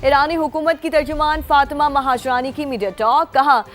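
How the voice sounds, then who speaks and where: Female Pakistani TV news anchor, high register, emphatic scripted read over a light music bed. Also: fairly even intonation, normal speed.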